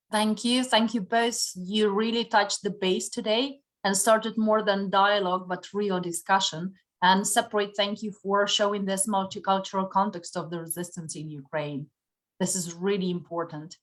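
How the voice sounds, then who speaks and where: A woman's voice: mid-range voice, level tone, moderate pace. A woman moderator reading scripted closing remarks and thanks in a formal manner. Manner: reads thanks and credits formally.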